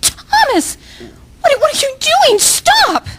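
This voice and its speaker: High, swooping scolding wife, acted by a woman. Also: very animated, slow, very noisy, full character voice. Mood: alarmed. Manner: scolding, urgent. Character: nagging, exasperated wife of a drinking man. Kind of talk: historical radio drama acting.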